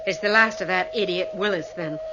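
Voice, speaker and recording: A woman's voice: fairly low pitch, brisk, highs cut off, background noise, lightly acted. An actress playing a brusque, sardonic female starship captain in a radio drama, dry and commanding. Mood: dry, dismissive. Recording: old radio drama with loud music.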